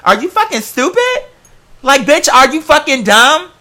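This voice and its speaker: A man's voice: very high voice, very animated, unhurried, pushed and bright. A man telling stories casually to the camera in a sassy, theatrical, slangy way with plenty of swearing. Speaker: vlogger.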